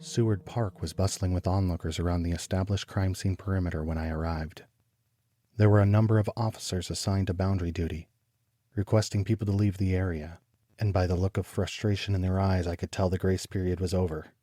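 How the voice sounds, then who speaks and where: An actor playing a troubled homicide detective, narrating his case in a deliberate, brooding, literary style for an audio drama. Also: low-pitched, steady tone, warm tone, dry recording, clean studio sound. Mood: bitter, weary. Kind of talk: scripted first-person noir audio drama narration.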